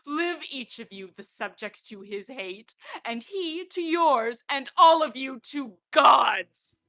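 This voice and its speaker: A woman's voice: sits high, animated, slow pace, pushed and bright, heavily acted. A young female student actor playing a bitter, vengeful prophetess queen in a Shakespearean radio play. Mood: cursing. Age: young adult.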